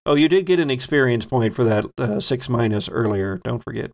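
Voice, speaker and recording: A man narrating a tabletop roleplaying game as game master, halting as he builds dramatic descriptions. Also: medium pitch, animated, very noisy.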